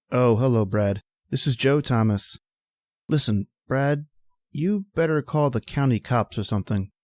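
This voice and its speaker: A man's voice: mid-range voice, a little mellow, no room sound, studio quality, close to an everyday voice. An actor playing a shaken, folksy working man making a hesitant, colloquial phone report in a radio drama. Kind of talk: old-time radio drama acting.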